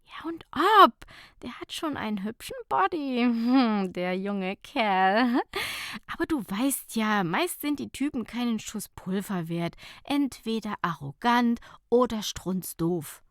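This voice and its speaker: A woman's voice: fairly high pitch, expressive, takes it slowly, no room sound. Lady doing an exasperated woman friend in an audiobook, protesting, a bit embarrassed, slightly raised voice, dry sound. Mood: wry, disappointed. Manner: mock-indignant, exasperated. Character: embarrassed, protesting woman, sceptical about men. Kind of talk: audiobook character dialogue.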